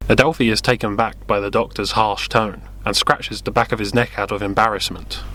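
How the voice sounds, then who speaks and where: A man's voice: on the low side, steady tone, fairly quick, sharp tone. A man narrating a scripted audio drama, reading out scenes and actions as the narrator.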